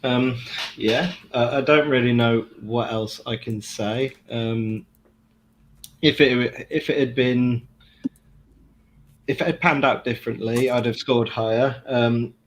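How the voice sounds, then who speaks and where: Slow, hesitant man giving a review. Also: medium pitch, fairly even intonation, a little hiss or hum, low-quality recording. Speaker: book club guest. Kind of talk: casual review discussion.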